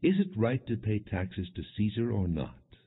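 A man's voice: lowish voice, big pitch swings, normal speed, husky, voice pushed up, heavily acted. A man reading the lines of formal, probing male religious officials in a scripture audiobook, with an insistent questioning manner. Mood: flattering, probing. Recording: muffled phone-like lo-fi audio.

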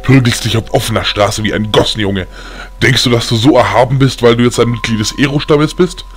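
A man voicing a strict, gruff but loving old grandfather who lectures sternly in an audio story. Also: mid-range voice, brisk, soft background music, lightly acted. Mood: stern, disappointed.

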